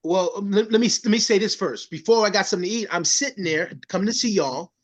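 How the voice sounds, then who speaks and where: A man's voice: high-pitched, fast talker. A man from the Bronx, co-hosting a community interview and telling stories emphatically, often repeating words. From the Bronx, New York. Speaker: co-host. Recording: dull lo-fi sound.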